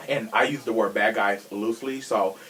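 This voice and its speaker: A man's voice: fairly low pitch, moderate pace, a bit bright. A man hosting a podcast in a casual chat, with a sassy, slangy and opinionated way of talking. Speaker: podcast host.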